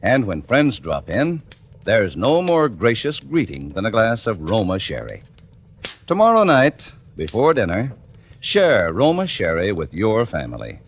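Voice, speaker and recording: An American male announcer delivers an old-time radio advertisement with polished, measured phrasing. His pitch swings very widely, and faint noise sits on the vintage recording. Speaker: commercial announcer. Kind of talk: 1940s radio advertisement read.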